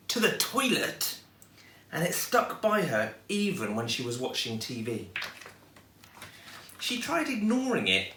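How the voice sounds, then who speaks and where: A man reading a children's story aloud in an expressive storytelling style. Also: on the high side. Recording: echoey room, distant microphone.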